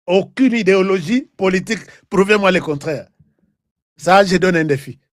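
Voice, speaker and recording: A high-pitched man with a Congolese accent hosts an online political commentary, recorded close and dry. He is animated and argumentative, firing rhetorical questions at his viewers and repeating phrases for emphasis. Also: moderate pace, no echo. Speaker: online political show host. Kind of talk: live political commentary to viewers, mixing French and Lingala.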